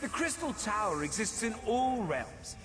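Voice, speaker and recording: An actor playing a scheming, vengeful demon prince in a fantasy video game, speaking in a theatrical, silky, menacing and deliberate manner. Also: male voice, sits high, big pitch swings, noisy background. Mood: silky, persuasive. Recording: long cavernous reverb, game ambience behind.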